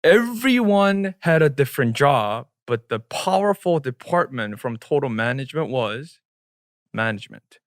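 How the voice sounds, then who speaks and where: A man's voice: medium pitch, big pitch swings, unhurried, dry recording, clean studio sound. A man whose first language is Korean, co-hosting a podcast in English and explaining at length, dropping into Korean phrases now and then. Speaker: podcast co-host. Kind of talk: casual podcast discussion.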